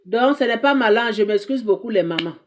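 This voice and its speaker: A woman's voice: fairly high pitch, expressive, brisk. Emphatic preachy woman. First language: not French. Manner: emphatic, preachy, repeats herself, rhetorical questions. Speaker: advice show host. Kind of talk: advice monologue to mothers.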